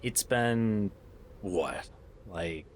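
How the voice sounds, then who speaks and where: A man's voice: fairly low pitch, animated, extremely slow, a little hiss or hum. A man playing a weary, loyal adventurer in an audio drama, talking in a casual, sardonic way. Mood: weary, wry. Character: wry, weary male adventurer, loyal old friend. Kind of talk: naturalistic audio drama acting.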